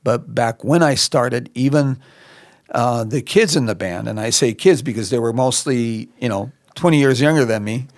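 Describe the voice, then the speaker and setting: A middle-aged man from Lebanon talks as a podcast guest, his voice gravelly with fry. He is reflective and unhurried, trailing into fillers and restarting his sentences. The sound is dry and close. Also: mid-range voice, no room sound. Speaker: musician guest. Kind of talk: relaxed interview answers. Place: podcast studio.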